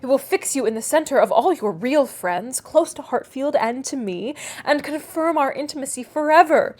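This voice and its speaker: A woman voicing a self-assured young gentlewoman matchmaker in a classic novel, knowing, precise and a little condescending. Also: high-pitched, expressive, normal speed. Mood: delighted, confident. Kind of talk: classic novel read aloud.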